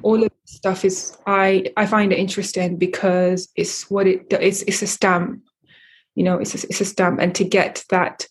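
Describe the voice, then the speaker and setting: A woman from Eritrea, a coffee company founder answering interview questions in a measured way, with many fillers and restarts. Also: medium pitch, little pitch movement, husky, rough recording.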